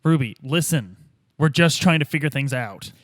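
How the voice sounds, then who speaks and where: A man's voice: on the high side, lively intonation, normal speed, no room sound, studio quality, natural voice. A man playing an earnest amnesiac detective in a tabletop radio play, informal, persistent and imploring. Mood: pleading.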